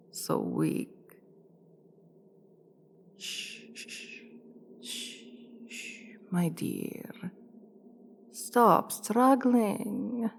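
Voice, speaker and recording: Woman playing a slow, imperious vampire queen. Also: low voice, vocal fry, a little mellow, slight background noise, exaggerated voice. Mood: menacing, mocking, then hushing, threatening. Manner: commanding, teasing, condescending, deliberate drawn-out delivery. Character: imperious, seductive vampire queen toying with a human captive. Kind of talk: ASMR roleplay acting. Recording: long reverb with music behind.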